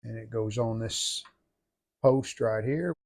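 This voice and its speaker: A man giving casual how-to narration as a hobbyist restorer, plain-spoken and folksy, low-key, talking in short phrases. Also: takes it slowly, darkish tone. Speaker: hobbyist restorer demonstrating a repair.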